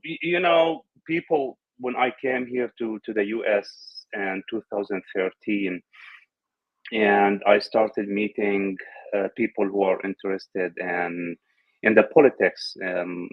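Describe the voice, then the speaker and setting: A man who grew up in Syria, a guest in an interview, speaking haltingly with many restarts and repeated words. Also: fairly low pitch. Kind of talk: conversational interview. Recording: dull lo-fi audio.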